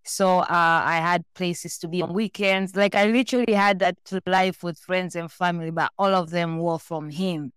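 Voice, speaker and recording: A woman's voice: fairly low pitch, steady tone, normal speed, hardly any pauses, clear, resonant tone, no room sound. A woman from Tanzania talking casually and candidly as a podcast guest, telling personal stories. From born in Tanzania, raised in several countries.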